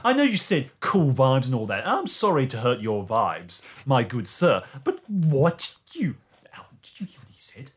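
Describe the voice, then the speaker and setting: A man playing an earnest, rule-bound Scouting enthusiast with a husky voice, pedantic and flusteredly polite, in a tabletop game. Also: medium pitch, very animated, normal speed. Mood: insistent, apologetic.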